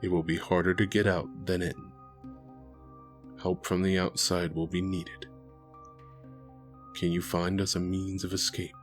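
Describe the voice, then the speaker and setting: Man voicing a deep, grave cat man. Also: flat delivery, measured pace, pauses often, faint music behind. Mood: grave, terse. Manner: terse, grave, formal. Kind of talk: audio drama acting.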